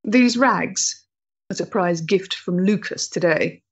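An actress playing a proud, intense woman rebel of the Victorian era, measured, grave and dramatic, in a period stage play. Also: mid-range voice, breathy edge, lo-fi sound. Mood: cool, knowing.

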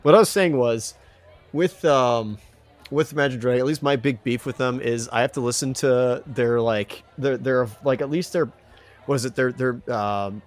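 A man co-hosting a podcast in casual banter, ranting in a halting way and repeating himself with mild exclamations. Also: mid-range voice. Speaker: podcast co-host.

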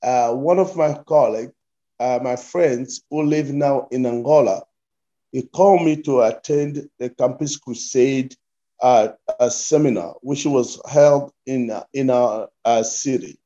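Congolese guy in his 50s, really soft dark tone, halting, repeats himself, slightly dull recording. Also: mid-range voice. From Democratic Republic of Congo. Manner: halting, repeats phrases as they go. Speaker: pastor, interview guest. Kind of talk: interview answers, recounting life story.

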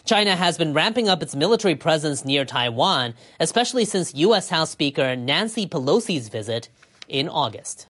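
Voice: medium pitch, very animated, normal speed, fairly bright tone. A news reader with a mid-range voice delivers a scripted bulletin. The delivery is formal and written-out, set for international radio.

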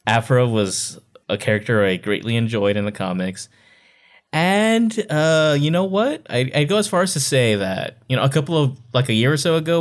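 A man co-hosting a podcast, chatting informally about books and repeating himself for emphasis. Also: mid-range voice. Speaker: podcast co-host. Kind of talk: casual book discussion chat.

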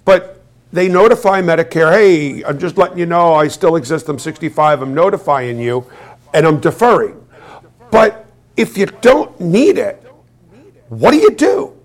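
A man hosting a casual finance show, asking his guest questions. His voice sits fairly high for a man, and he often restarts his sentences and jokes as he goes. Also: lively intonation, normal speed. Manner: inquisitive, jokey, restarts often. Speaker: host. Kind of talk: conversational interview.

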